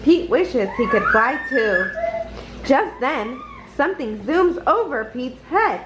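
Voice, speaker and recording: A woman reading a children's picture book aloud in a deliberate, expressive storytelling manner. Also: high voice, a little hiss or hum, rough recording. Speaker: storybook reader.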